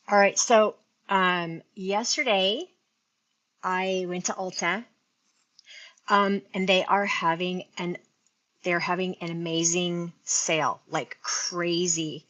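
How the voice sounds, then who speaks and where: Chatty sixty-year-old woman, bright voice. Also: on the low side. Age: 60s. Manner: chatty, rambling, talks to viewers. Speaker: beauty livestream host. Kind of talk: casual live-stream chat. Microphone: earphone mic linked to phone.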